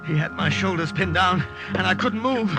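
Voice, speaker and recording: A man's voice: high-pitched, normal speed, lightly acted. A man acting a resolute, protective wartime saboteur in an old-time radio drama, speaking and narrating with dramatic urgency. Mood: tense narrating. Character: resolute, protective male wartime saboteur telling his story. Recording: old radio recording with music behind.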